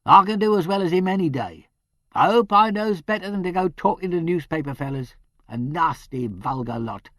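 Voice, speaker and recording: Brief: male reader voicing a gossipy old charwoman, high and bouncy. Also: animated, moderate pace, fairly bright tone, no room sound, studio quality. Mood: indignant. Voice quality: broad, chattering, indignant. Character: gossipy old village charwoman, talkative and proud.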